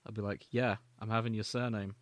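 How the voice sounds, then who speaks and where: A man's voice: lowish voice, little pitch movement, a little fast, dry recording. Man podcasting, very dry close sound. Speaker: podcast co-host. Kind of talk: casual chat about fanfiction, with passages read aloud.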